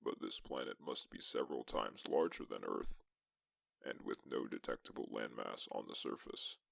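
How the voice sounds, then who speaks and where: A man narrating as a lone astronaut explorer in a suspense science-fiction story, with a gravelly voice and measured, deliberate delivery. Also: a bass voice, fairly bright tone, no room sound, studio quality, exaggerated voice. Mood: measured, solemn. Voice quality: gravelly, creaky. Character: lone male astronaut explorer recounting a tense exoplanet mission. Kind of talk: scripted first-person sci-fi story narration.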